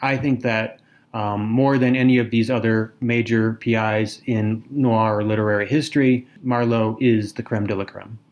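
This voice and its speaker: A man's voice: fairly low pitch, fairly even intonation, moderate pace, a little mellow, dull audio. A man co-hosting a podcast, talking through a novel in a thoughtful, casual way. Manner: thoughtful, reads quotes aloud. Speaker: podcast co-host. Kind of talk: casual literary discussion.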